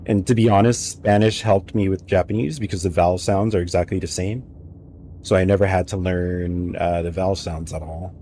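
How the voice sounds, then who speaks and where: A young man with a lowish voice rambles through travel stories while he games live. He speaks into a headset from a home setup, and a faint hum of game ambience sits behind him. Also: a little fast. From United States. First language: English. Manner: rambling, anecdotal, animated storytelling. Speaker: streamer. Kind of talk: casual live-stream chat while gaming.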